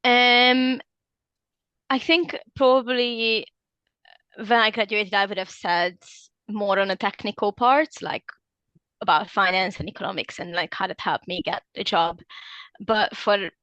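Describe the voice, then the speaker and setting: A young woman answers questions in a relaxed, hesitant way. She fills pauses with ums and likes and often corrects herself mid-sentence. Her voice sits at an ordinary middle pitch, recorded close and dry with no room echo. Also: lo-fi sound. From Hungary. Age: 20s. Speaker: alumna interviewee. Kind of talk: casual interview answers.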